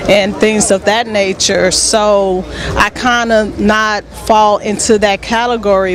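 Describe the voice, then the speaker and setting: A woman hosting a conversational interview, frank and supportive, sharing personal experience as she asks questions. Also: mid-range voice. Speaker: interviewer, host.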